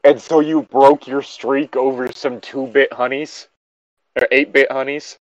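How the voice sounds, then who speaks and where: A man's voice: medium pitch, flat delivery, unhurried, dry recording, dull audio. A man giving casual, sweary and sardonic commentary as he reads and reacts to a story. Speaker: host reading and reacting to a horror story.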